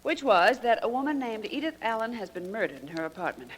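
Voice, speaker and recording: An actress playing a perky, wisecracking secretary with breezy quips, in 1940s radio drama style. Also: female voice, a little high, big pitch swings, fast. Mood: matter-of-fact. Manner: breezy, quipping. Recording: 1949 radio recording with faint noise.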